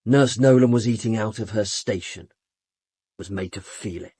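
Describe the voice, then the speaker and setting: A man's voice: lowish voice, normal speed, mellow, breathy edge, dry recording. An actor playing a cool, supercilious British man in a radio drama, speaking in a dry, clipped, disdainful way. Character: cool, supercilious, sardonic man under police questioning.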